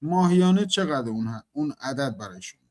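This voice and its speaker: A man whose first language is Persian, hosting an interview and translating between guests in a quick, halting way with many fillers. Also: medium pitch, sing-song. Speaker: host and interpreter.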